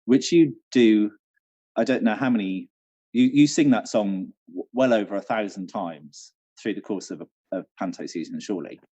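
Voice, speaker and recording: A man co-hosting in casual chat, hesitant and gently teasing. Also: fairly low pitch, moderate pace, husky. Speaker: co-host, venue manager. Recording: dull, band-limited video call audio.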